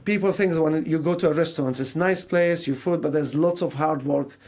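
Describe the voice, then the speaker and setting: A middle-aged man from Syria, a native Arabic speaker with a Syrian Arabic accent, telling his story as a radio guest in halting English, searching for words. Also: a little high, darkish tone. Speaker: radio interview guest, mental health charity service manager. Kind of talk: conversational radio interview, personal storytelling.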